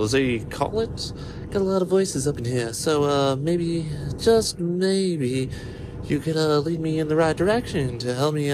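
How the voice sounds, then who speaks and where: A man's voice: fairly high pitch, background noise. A man talking casually and hesitantly as an aspiring voice actor, rambling through an informal demo. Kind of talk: casual voice acting demo reel, improvised character bits.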